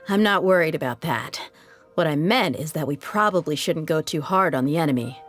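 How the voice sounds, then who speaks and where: A woman voicing a tough, confident, blunt female mercenary in a video game, with a brisk, cocky manner. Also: low-pitched, expressive, soft background music. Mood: focused, confident. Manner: brisk, cocky, casually menacing.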